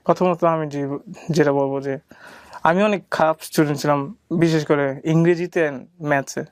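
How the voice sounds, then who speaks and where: A young Bangladeshi man answering interview questions as a student, haltingly, switching into simple learner's English. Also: fairly high pitch, steady tone, dry recording. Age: young adult.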